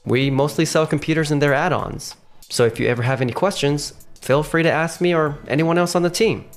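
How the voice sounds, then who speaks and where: An actor voicing a composed man in charge of a sales team. He sounds firm yet polite, with measured, businesslike delivery over light background music, as in a manga dub. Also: a little high, natural voice. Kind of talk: manga dub acting and narration.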